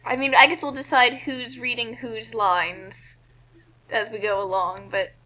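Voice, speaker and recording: A woman's voice: fairly high pitch, fairly even intonation, measured pace, bright, forceful tone, low-quality recording. A woman co-hosting a read-along in a casual, chatty, explanatory way. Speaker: co-host reading fan fiction aloud. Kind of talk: casual banter and commentary.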